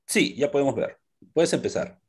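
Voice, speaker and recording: A man's voice: medium pitch, lively intonation, normal speed, husky. A middle-aged man moderating a live webinar over a video call, introducing speakers with hesitant fillers. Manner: hesitant, listing names with fillers. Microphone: laptop webcam on a video call.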